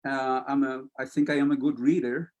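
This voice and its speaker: A man with a Spanish accent, a poet and interview guest, speaking slowly and hesitantly with many pauses and fillers, and reading poems aloud. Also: medium pitch, level tone. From Chile. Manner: slow, hesitant, many fillers, soft-spoken.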